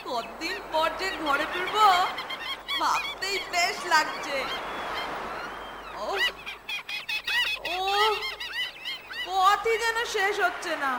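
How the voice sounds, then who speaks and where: A woman's voice: extremely high pitch, heavy background noise, full character voice. A woman voicing a cheeky young village boy who teases and exclaims in a cartoon story. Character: cheeky, lazy young village boy, fond of his monkey. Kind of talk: Bengali cartoon story acting. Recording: echoey, music behind.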